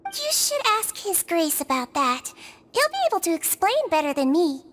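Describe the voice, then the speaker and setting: A woman's voice: very high voice, sing-song, moderate pace, fairly bright tone, in a large hall, exaggerated voice. A woman voicing a small, cute, polite and chirpy girlish helper in a game dub. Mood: polite, explaining. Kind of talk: English game dub acting.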